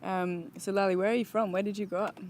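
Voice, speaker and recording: A woman's voice: medium pitch, steady tone, fast talker, a little mellow, slight background noise. A woman hosting an interview, introducing herself and asking short, direct questions in a casual way. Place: outdoors overlooking the ocean.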